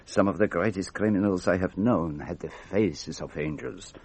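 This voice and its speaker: Husky, fussy detective. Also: male voice, sits low, a little mellow. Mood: pensive. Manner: precise, pompous, deliberate. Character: fussy, shrewd middle-aged Belgian male detective. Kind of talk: radio drama acting.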